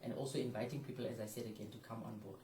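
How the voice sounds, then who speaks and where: Guy talking fast about his group's events, promo tone, off mic, faint hum. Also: medium pitch, fairly even intonation, mellow, reverberant, recorded from a distance. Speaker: interview guest, movement leader.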